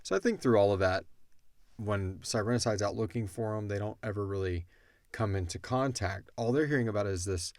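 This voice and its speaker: A man's voice: fairly low pitch, brisk, no echo, clean studio sound. A man hosting a casual Q and A, explaining in an informal, rambling way. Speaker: podcast host. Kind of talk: casual Q and A discussion.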